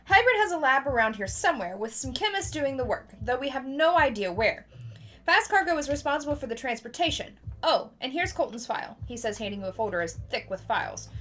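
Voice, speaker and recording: A woman's voice: high-pitched, a bit bright. A woman hosting a board game livestream, switching casually between reading game text aloud and chatting.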